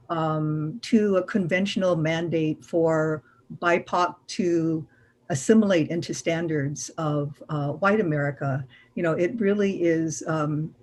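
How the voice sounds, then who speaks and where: A woman's voice: fairly low pitch, darkish tone. A woman curator speaking on a panel in an earnest way, with frequent fillers. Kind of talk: panel discussion.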